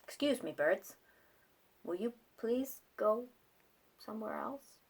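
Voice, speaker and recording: A woman voicing an excitable, easily flustered storybook elephant with dramatic, exclamatory questioning. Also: a little high, slow pace, creaky, husky, exaggerated voice. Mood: polite relief.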